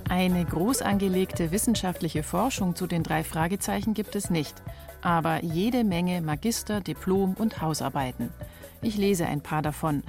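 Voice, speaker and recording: A woman in her forties with a fairly low voice narrates as a reporter. Her delivery is scripted documentary narration, with faint music underneath. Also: normal speed, darkish tone. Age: 40s.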